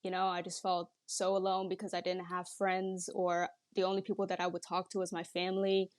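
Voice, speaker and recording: A woman's voice: on the low side, completely flat delivery, brisk, dry recording. A young woman from the Netherlands, chatting casually and reflectively as a podcast guest. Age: young adult. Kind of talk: casual reflective interview chat.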